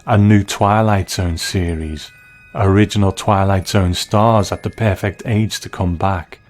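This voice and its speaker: A man hosting a scripted TV review, speaking in measured, reflective, deliberate phrases. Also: low-pitched, fairly even intonation, darkish tone. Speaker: television review host.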